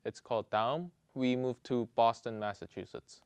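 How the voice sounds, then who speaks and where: A man's voice: medium pitch, steady tone, moderate pace, clear, resonant tone, dry recording. A young man from South Korea answering interview questions with hesitant self-corrections.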